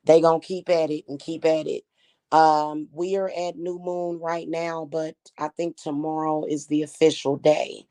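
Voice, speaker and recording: A woman talks straight to her viewers in a loose, chatty way. Her voice is slightly hoarse, and her tone stays defiant and unbothered. She calls the audience "y'all" throughout. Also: low voice, flat delivery, a little slow, dark tone. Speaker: spiritual reader and channel host. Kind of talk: casual talk to viewers.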